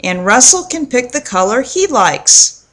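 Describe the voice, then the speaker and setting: A woman reading the part of a kind, patient mother in a children's storybook, gentle and reassuring. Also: fairly high pitch, sing-song, measured pace, full character voice. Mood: encouraging.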